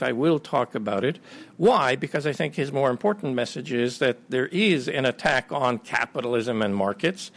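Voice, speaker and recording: A middle-aged man, born in Ukraine and raised in Canada, giving a conference lecture in a halting way, with many filler pauses and restarts. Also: mid-range voice, a bit bright. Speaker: invited speaker.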